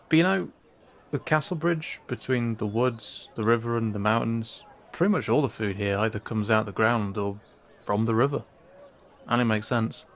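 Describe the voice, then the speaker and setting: A man's voice: medium pitch, unhurried, long pauses, lightly acted. A man playing a wary young male cat-person guide in a fantasy audio drama, speaking casually and hesitantly straight to the listener. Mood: casual, awkward, then friendly, amused. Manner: hesitant, casual, conversational asides.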